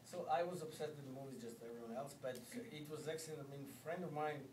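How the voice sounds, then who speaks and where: A man from Serbia, a filmmaker on a festival panel, answering questions casually in halting, non-native phrasing. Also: mid-range voice. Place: festival screening room. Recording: echoey room mic from far off, noisy.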